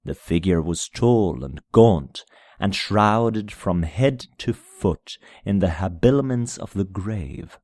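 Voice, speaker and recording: A man narrating a gothic tale as a dramatic reading, in a solemn, measured manner with deliberate phrasing. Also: low-pitched, expressive, a little mellow, dry recording. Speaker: amateur audiobook narrator.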